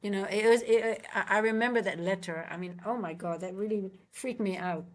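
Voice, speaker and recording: A woman's voice: medium pitch, a little fast. Chatty Dutch woman coaching traders. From Netherlands. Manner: chatty, repeats words, frequent restarts and fillers. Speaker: trading coach streaming live. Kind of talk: casual live-stream chat.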